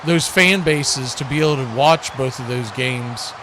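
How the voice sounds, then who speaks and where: A man's voice: medium pitch, measured pace. A man hosting a live football watch party, giving play-by-play in a folksy, conversational way. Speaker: play-by-play host. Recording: steady background noise.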